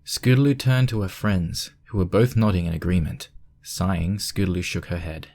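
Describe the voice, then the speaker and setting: Male narrator, low register, reading prose fiction from a script. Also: fairly even intonation, moderate pace, darkish tone.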